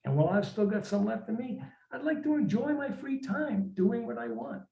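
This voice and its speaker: A man's voice: high voice, sing-song, moderate pace, vocal fry, mellow, pitched above the performer's own voice, heavily acted. A man voicing a depressed, defensive married man of forty-two in a weary, complaining, colloquial way, for a short story reading. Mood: exasperated. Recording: roomy, slightly dull room recording.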